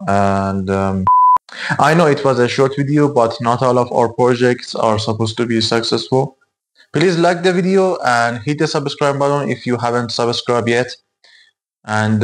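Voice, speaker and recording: Young man explaining an electronics project. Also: medium pitch. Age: 20s. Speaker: electronics hobbyist, video host.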